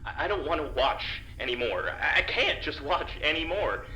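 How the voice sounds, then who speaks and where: Very low-voiced, conflicted guard Vic. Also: female voice, big pitch swings, normal speed, pushed and bright, full character voice. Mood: distressed. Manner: hesitant, stammering. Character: conflicted, anxious, soft-hearted guard Vic. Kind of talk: audio drama acting. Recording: roomy sound with faint noise.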